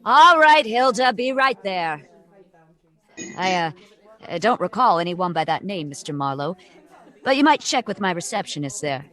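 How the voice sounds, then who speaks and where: A woman's voice: medium pitch, sing-song, moderate pace, long pauses, fairly bright tone. A woman playing a tough, burly health club owner and former wrestler, brusque and no-nonsense, in a detective audio drama. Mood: brisk, businesslike.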